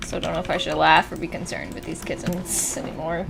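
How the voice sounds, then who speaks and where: A woman's voice: low voice, little pitch movement, fast talker, vocal fry, background noise. A woman giving casual livestream commentary as she plays a game. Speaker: game streamer.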